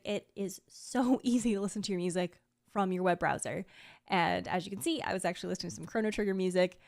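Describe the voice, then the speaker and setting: Casual, chatty woman streamer. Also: medium pitch. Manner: conversational, peppers talk with "like" and small laughs. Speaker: video creator and streamer presenting a sponsored ranking video. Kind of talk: casual chatty vlog talk to viewers.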